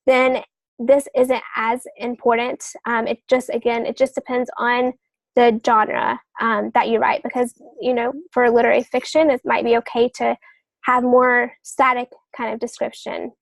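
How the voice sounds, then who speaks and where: A woman with a fairly high voice speaks as a guest in a podcast discussion. She explains step by step with examples, sometimes hesitating and correcting herself. The sound is close and dry, with very little room in it. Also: flat delivery, normal speed, gravelly, no room sound, rough recording. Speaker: podcast guest novelist giving writing advice.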